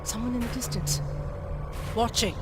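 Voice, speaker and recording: An actress playing a guarded, sardonic research scientist in a video game, speaking in a clipped, dry way. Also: female voice, fairly high pitch, moderate pace, over loud music, lightly acted. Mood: ominous, guarded.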